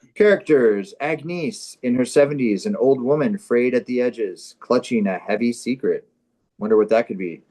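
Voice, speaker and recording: A man performing on a comedy show, bantering casually and reading through a script in a mock-serious, deadpan way. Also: mid-range voice, normal speed.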